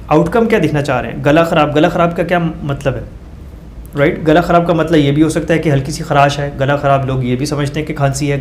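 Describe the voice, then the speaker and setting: Man explaining with a higher voice. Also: darkish tone, slight background noise. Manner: teacherly, walks through numbered points with everyday examples; hesitant fillers, mixes English phrases. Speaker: doctor, research guest. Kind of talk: explaining research step by step.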